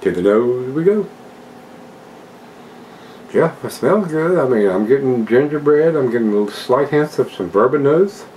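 A man's voice: mid-range voice, animated, unhurried, long pauses, darkish tone, slight background noise, rough recording. An older man at home casually reviewing a beer, chatting to his viewers in a folksy way. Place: home room with a desk and plants, indoors.

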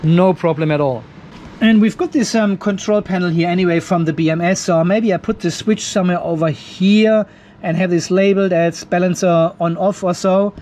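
A man's voice: high voice, moderate pace, a little mellow. A man in his fifties narrating a hands-on DIY job in a casual, informal way, thinking aloud as he works. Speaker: DIY video host. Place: garage workshop with metal roof. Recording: some background noise in workshop.

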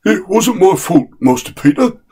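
A man's voice: high voice, very animated, moderate pace, mellow, slightly rough, voice pushed up, full character voice. A man voicing a respectful, working-class chauffeur who speaks plainly and ungrammatically. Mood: placating.

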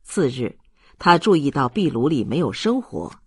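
An audiobook narrator reading a literary novel in an expressive storytelling style. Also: mid-range voice, moderate pace, slightly rough, dry recording, clean studio sound.

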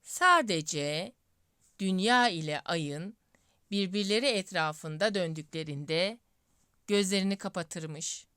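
A woman storyteller narrating a scripted fairy tale in deliberate phrases with pauses. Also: lowish voice, fairly bright tone, clear, resonant tone, no echo, studio quality.

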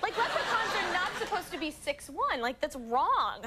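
A woman's voice: very high-pitched, big pitch swings, moderate pace, over a noisy crowd, very noisy. A woman in her twenties, an actress guest on a talk show, telling anecdotes in a bubbly way full of "like"s and acted-out quotes. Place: television studio with live audience.

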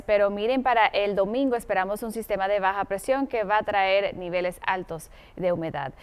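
A woman's voice: medium pitch, clean tone. A woman in her thirties presenting news and weather as a television anchor in a studio.

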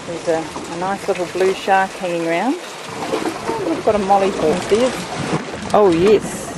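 Man, high pitch, casual fishing chat, outdoor recording at sea, heavy boat and water noise. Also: unhurried. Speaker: fishing companion. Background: loud boat and water noise.

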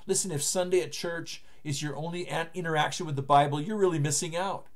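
A man's voice: a little high, steady tone, a little fast. A man in his fifties, a pastor, giving an earnest, emphatic recorded sermon to the camera from a book-lined home study. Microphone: webcam framing, seated at desk.

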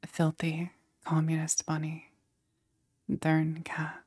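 A woman creator speaks in a hushed ASMR whisper for her outro. The voice is very breathy and husky, mellow in tone, and recorded close and dry. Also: low voice, monotone, takes it slowly, no room sound. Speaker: video creator.

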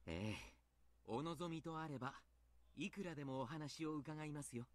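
Guy doing earnest polite doctor in a visual novel, kinda high, talks fast, firm. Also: close to an everyday voice. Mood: polite but firm. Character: mild-mannered male doctor, earnest and protective. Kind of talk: Japanese visual novel voice acting.

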